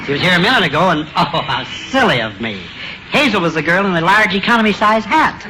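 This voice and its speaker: Smitten silly restaurant employee, coy, oblivious, animated pitch, vintage radio comedy, heavy noise. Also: male voice, fast talker. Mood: baffled. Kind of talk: 1950s American radio comedy acting. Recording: very noisy old radio recording.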